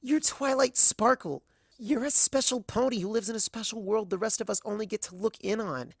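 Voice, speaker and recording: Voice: mid-range voice, normal speed, vocal fry, husky, no room sound, studio quality, pitched above the performer's own voice. A reader voicing a timid, earnest young female pony who speaks in a hesitant, pleading way, in a dramatic fan fiction reading. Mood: hurt, earnest.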